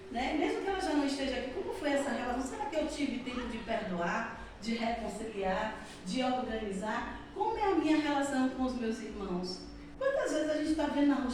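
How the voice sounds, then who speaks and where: A middle-aged woman from Salvador with a Bahian accent, giving an earnest spiritist lecture that leans on repetition and tag questions. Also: fairly high pitch. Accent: Bahian Brazilian Portuguese. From Salvador, Bahia, Brazil. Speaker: spiritist speaker. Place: spiritist center hall. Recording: echoey hall from far off, noisy.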